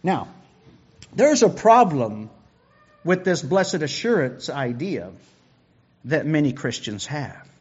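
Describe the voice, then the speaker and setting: A man's voice: a little high, big pitch swings, slow pace, pauses often, slightly rough, lo-fi sound. A man preaching a sermon who often repeats words as he builds a point.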